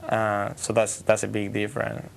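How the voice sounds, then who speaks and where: A teenage exchange student from Argentina, speaking English hesitantly in a studio interview. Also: male voice, lowish voice, monotone, moderate pace, creaky, slight background noise. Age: teens. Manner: hesitant, searching for words.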